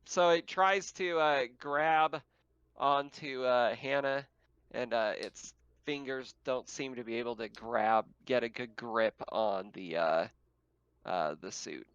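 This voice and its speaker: A man serves as game master for a tabletop roleplaying game. His voice is smooth and unhurried, with halting, casual narration. The recording is close and dry but a little dull. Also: mid-range voice, fairly bright tone, no echo.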